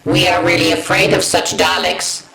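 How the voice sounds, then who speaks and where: A man voicing a cowardly yet menacing Dalek war machine in an amateur sci-fi parody, gravelly and halting. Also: sits high, completely flat delivery, pushed and bright, exaggerated voice. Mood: panicked. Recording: echoey room.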